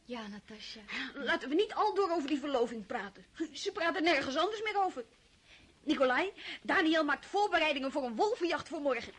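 A woman's voice: sits high, expressive, fairly quick, a bit bright. An actress in a radio play, voicing a shy young woman, a poor cousin in love, who speaks timidly and hesitantly.

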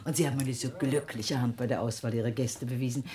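A woman's voice: a bass voice, fairly even intonation, fast, dark tone. An actress playing a gushing, easily scandalized duchess in a German radio drama, affected and stammering, with party chatter behind her. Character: flustered, gushing aristocratic duchess, scandalized and naive.

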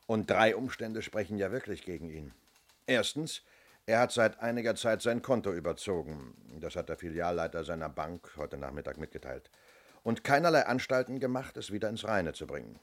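An actor playing a methodical, fair-minded police inspector in a German radio play, measured, matter-of-fact and probing. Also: male voice, deep voice, animated.

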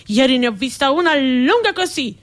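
A woman's voice: sits high, very animated, moderate pace, fairly bright tone. A woman voicing a disgusted male deli seller who complains in exaggerated exclamations, in a theatrical comic monologue.

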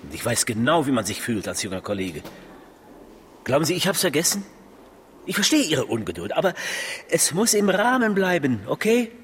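A stern, protective senior police officer with an animated, mid-pitched voice. He admonishes emphatically in naturalistic radio drama dialogue, with faint ambience behind him. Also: normal speed, long pauses, slight background noise. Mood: admonishing, protective. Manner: admonishing, emphatic, checking questions. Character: stern but caring senior male police officer, mentor.

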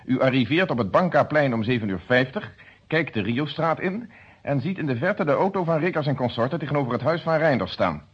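An actor playing a stern, methodical police inspector in a radio drama, speaking in an insistent, precise and formal way. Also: male voice, mid-range voice, animated, fairly quick, slightly rough. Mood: confident, accusing. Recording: dull muffled old radio drama recording.

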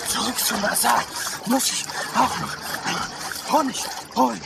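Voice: mid-range voice, a little slow, vocal fry, heavy background noise. A performer voicing a child athlete who counts and mutters in fragments, in a radio drama. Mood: strained, muttering. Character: breathless child athlete counting laps, muttering game codes. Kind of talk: radio drama acting, inner monologue.

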